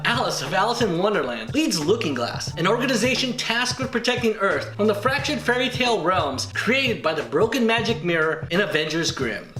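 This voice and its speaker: High-pitched, animated male review host. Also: roomy sound. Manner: playful, punning, showman-like. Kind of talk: scripted comedic review narration.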